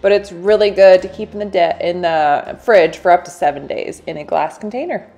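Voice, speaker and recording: A woman in her thirties, a cooking channel host, chatting brightly through a recipe in a home kitchen. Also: lowish voice, moderate pace, soft background music. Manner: bubbly, chatty, addresses viewers as "you guys". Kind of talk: recipe demonstration, voice-over and to camera.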